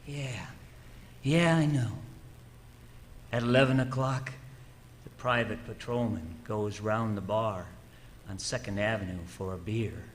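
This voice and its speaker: A man's voice: lowish voice, measured pace, long pauses, slight background noise, natural voice. A man playing a cool, obedient hired killer in an old-time radio suspense drama. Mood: cool, matter-of-fact.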